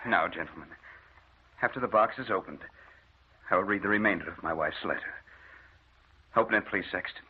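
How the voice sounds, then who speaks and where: An actor playing a grave, commanding bereaved doctor in old-time radio drama, speaking formally and deliberately. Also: male voice, low voice, lightly acted. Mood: solemn, commanding. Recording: muffled, noisy old radio recording.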